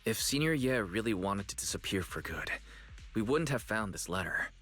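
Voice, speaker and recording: A steady, capable young man from a game, reasoning calmly and reassuringly. His voice sits somewhat low. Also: normal speed, faint music behind. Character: calm, capable young man guiding the team.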